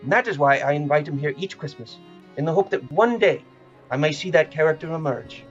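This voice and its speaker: A male actor plays an amiable gentleman in an audio drama, earnest and full of goodwill. He speaks with playful teasing and hopeful good humor in a fairly high male voice. Music runs underneath. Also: fairly quick, dull audio, natural voice. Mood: cheerful, earnest. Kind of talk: audio play acting.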